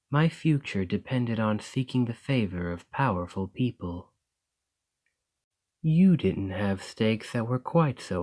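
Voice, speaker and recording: A gracious lamia noble merchant, played by a man, talking softly and formally to his servant. His voice sits low and he takes his time, unhurried and calm. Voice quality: soft, measured and formal. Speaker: boss speaking to the listener. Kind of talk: ASMR roleplay.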